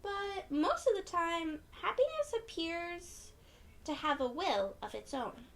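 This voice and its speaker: A woman reading a picture book aloud to young children in an encouraging, expressive way, often putting questions to her listeners. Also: extremely high pitch, slow pace. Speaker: children's read-aloud storyteller.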